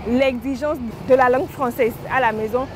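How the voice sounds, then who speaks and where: A high-voiced woman runs a street interview outdoors, keeping her questions brisk and chatty. Traffic noise from the busy street sits clearly behind her. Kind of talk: vox-pop street interview.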